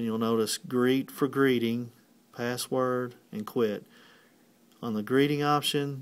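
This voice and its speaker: Male tutorial presenter, medium pitch, plain and methodical, walking through instructions one step at a time. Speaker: technician giving a tutorial.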